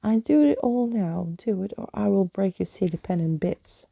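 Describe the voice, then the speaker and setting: A woman's voice: medium pitch, lively intonation, moderate pace, gravelly, warm tone. A woman voicing a bold, defiant young girl thief with a curt, threatening manner in a storybook.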